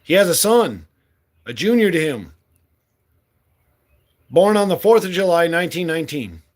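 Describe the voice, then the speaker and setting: A man's voice: on the high side, very animated, normal speed. A man in his late forties giving a blunt, impassioned monologue as a candidate, swearing freely and speaking with fire. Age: 40s. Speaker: political candidate.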